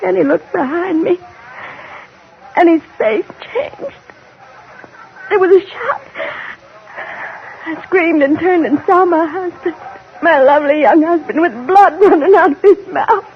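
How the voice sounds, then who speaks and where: An actress in an old-time radio drama playing a grieving, haunted widow in her thirties who speaks haltingly and emotionally. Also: very high voice. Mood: anguished, haunted. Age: middle-aged. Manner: halting, emotional, repeating phrases. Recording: muffled old radio recording, faint noise.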